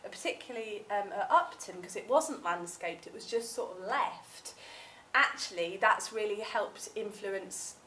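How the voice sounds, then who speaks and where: A woman's voice: medium pitch, measured pace, fairly bright tone, a little hiss or hum. A young woman with a southern English accent giving a chatty conference talk in a seminar room, full of ums, recorded from a far camera. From southern England. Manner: chatty, many ums, gestures while speaking. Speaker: academic researcher presenting. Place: seminar room with projector screen. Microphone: far camera, room audio.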